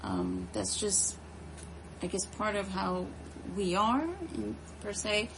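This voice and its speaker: Woman, conversational interviewee, unhurried, gravelly fry, hesitant pauses, noisy recording. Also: medium pitch, very animated. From United States. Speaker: interviewee, home care nurse.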